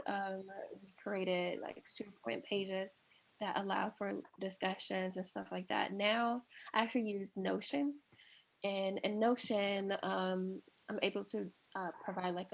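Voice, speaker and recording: A woman taking part in an online discussion group, talking relaxed with filler words. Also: mid-range voice, vocal fry, a little hiss or hum, low-quality recording. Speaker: group participant.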